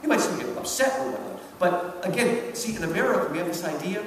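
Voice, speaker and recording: A man's voice: high voice, expressive, fast talker. A man giving a live lecture with literary commentary, speaking emphatically and rhetorically and often checking in with his listeners. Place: large reverberant hall at a public event. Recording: echoey hall from far off, noisy.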